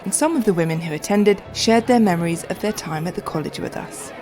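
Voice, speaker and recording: A woman hosting a podcast, reading a scripted closing in a measured way. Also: on the low side, over music. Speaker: podcast host. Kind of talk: scripted narration.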